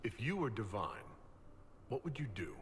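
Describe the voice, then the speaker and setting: A man's voice: mid-range voice, sing-song, normal speed, big-room sound, close to an everyday voice. An actor playing a modest male party leader in a fantasy video game, sincere and plain-spoken. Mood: sincere, steady. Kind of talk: scripted video game dialogue. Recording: faint noise and ambience behind.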